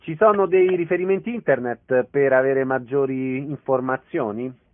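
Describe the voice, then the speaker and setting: A man hosting a radio theatre programme, speaking in a courteous, measured announcer manner. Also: mid-range voice, slight background noise. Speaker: radio theatre show host. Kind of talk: radio interview and chat. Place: radio studio. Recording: narrow phone-like radio audio.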